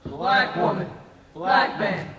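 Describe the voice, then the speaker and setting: A woman's voice: medium pitch, animated, slow pace, fairly bright tone, lo-fi sound. A woman acting a knowing, ominous enslaved storyteller in a dramatic stage play. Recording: very noisy echoey hall, distant microphone.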